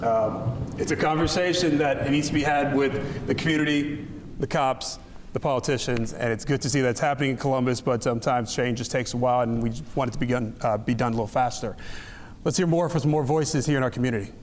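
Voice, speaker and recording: A man's voice: mid-range voice, brisk. A man hosting a news panel, asking questions and moderating the discussion. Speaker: news host. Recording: echoey room, noisy hall-like sound.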